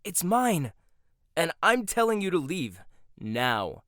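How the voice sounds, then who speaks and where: Man as a combative young chef, lively sarcastic delivery, dry close sound. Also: fairly high pitch, slow, dry recording, exaggerated voice. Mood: irritated. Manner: sarcastic, confrontational. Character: proud combative young male head chef. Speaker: voice actor. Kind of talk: audio roleplay.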